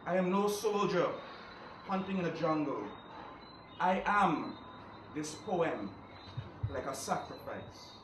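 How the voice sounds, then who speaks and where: High-voiced young man reciting in echoey room. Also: expressive, takes it slowly, pauses often. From Guyana. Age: young adult. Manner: impassioned, declamatory, solemn. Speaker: poetry reader. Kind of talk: dramatic poetry recital. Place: live recital event in a room. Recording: roomy, distant mic, faint noise.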